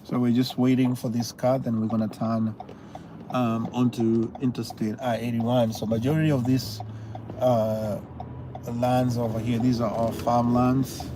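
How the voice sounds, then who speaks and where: A man's voice: mid-range voice, a little slow. Kenyan man chatting while driving. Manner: relaxed, rambling, with many fillers. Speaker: livestream host. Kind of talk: casual live driving commentary. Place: inside a car on a highway. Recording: loud road and car noise.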